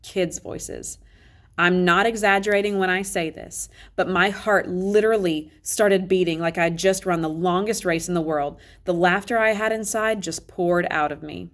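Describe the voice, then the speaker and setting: A woman reading a children's novel aloud as an expressive, conversational first-person narration. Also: lowish voice, normal speed. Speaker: read-aloud reader.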